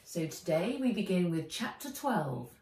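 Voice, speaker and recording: A woman's voice: lowish voice, big pitch swings, a little slow, a little mellow. A middle-aged British woman, an author reading her storybook aloud to children. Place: bright room by garden windows, indoors. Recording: roomy, a little distant from mic.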